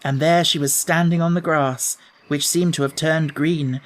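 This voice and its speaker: A man's voice: on the high side, steady tone, moderate pace. A man reads as an audiobook narrator. His delivery is scripted storybook narration, telling a children's tale.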